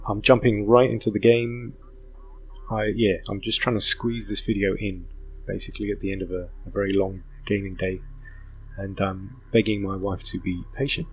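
A hoarse man hosting a casual gaming commentary, rambling with many ums. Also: lowish voice. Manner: rambling, self-interrupting, full of ums. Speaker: gaming channel host. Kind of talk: casual let's play commentary.

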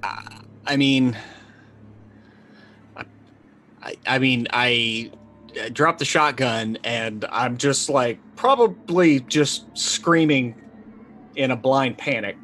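A man's voice: mid-range voice, slow pace, long pauses, fairly bright tone. A middle-aged man playing a tabletop roleplaying game, chatting casually and wryly, often restarting his sentences. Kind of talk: casual, improvised game chat. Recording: faint background noise and ambience.

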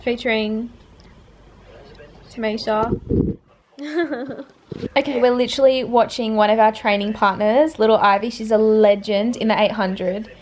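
A woman's voice: fairly high pitch, fairly even intonation, measured pace, pauses often. A woman in her twenties with an Australian accent, chatting casually and slangily to a vlog camera. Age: 20s. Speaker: vlogger, sprinter. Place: small motel room, sometimes just outside it. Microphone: handheld vlog camera at arm's length. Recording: handheld camera audio with background noise.

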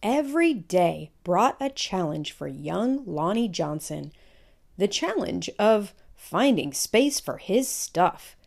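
A middle-aged woman reading a picture book aloud for children, with deliberate, teacherly phrasing and pauses. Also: mid-range voice, sing-song, gravelly. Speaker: children's read-aloud host. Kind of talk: storybook read-aloud narration for children.

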